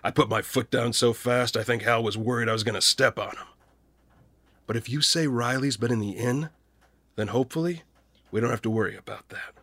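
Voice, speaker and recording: Gravelly, gruff small-town man. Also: medium pitch, fairly bright tone, no room sound. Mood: hesitant, confessing. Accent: American. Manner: folksy, blunt, halting. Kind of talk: naturalistic audio drama acting.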